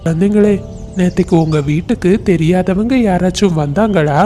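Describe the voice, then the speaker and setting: A performer voicing a wise, kindly parrot uncle in a cartoon, giving gentle advice. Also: mid-range voice, normal speed, faint music behind, natural voice. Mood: advising. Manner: gentle, advising.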